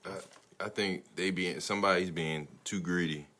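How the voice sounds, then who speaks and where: Deep-voiced American man chatting casually. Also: steady tone, moderate pace, bright, forceful tone. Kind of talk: casual sports chat.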